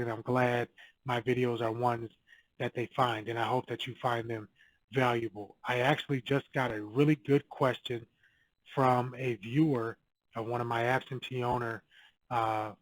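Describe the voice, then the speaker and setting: A man's voice: medium pitch, dry recording, poor audio. A man records a casual advice video, speaking in a mid-range voice. He coaches in a direct, no-nonsense way and comes back to the same points again and again. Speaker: real estate agent giving cold calling advice.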